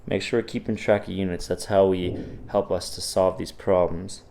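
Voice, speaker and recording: A man's voice: low-pitched, fairly even intonation, normal speed, creaky, mellow, slight background noise. A man, sick and a bit sniffly, teaching in a casual, encouraging way as he walks through practice problems in a video lecture. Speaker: physics teacher.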